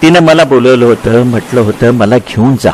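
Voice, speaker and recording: A man playing Krishna as a tender, reflective lover looking back in a dramatized literary dialogue, speaking with halting repetitions. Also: mid-range voice, a little mellow, slight background noise, natural voice. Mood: wistful recollection.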